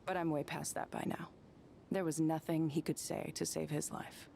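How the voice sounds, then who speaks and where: Young female game adventurer, lowish voice, breezy, self-assured, wry, faint ambient noise. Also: little pitch movement, moderate pace, creaky, mellow. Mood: casual, wry. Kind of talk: video game character acting.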